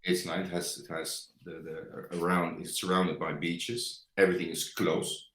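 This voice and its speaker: Deep-voiced Dutch man, halting English. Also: flat delivery, a bit bright, reverberant. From Netherlands. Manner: hesitant, searching for words, many fillers. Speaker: guest. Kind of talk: conversational interview.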